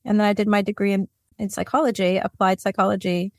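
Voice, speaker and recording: A woman's voice: mid-range voice, level tone, fast, darkish tone, no echo, clean studio sound. A woman from Puerto Rico, a career coach, telling her story as an interview guest in a reflective, easygoing way. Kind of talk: conversational interview answers.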